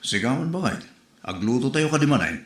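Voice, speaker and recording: A man's voice: medium pitch, big pitch swings, a little fast, close to an everyday voice. A man playing an easygoing, supportive husband and father in a comedy audio drama. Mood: agreeable. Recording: echoey, roomy sound.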